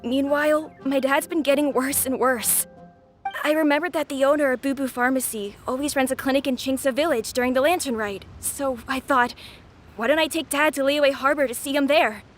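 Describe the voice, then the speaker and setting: A woman's voice: sits high, fairly even intonation, a little fast, faint music behind, lightly acted. A woman voicing an earnest, worried young boy in a video game, explaining himself carefully. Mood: earnest, anxious. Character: earnest young boy worried about his lost father.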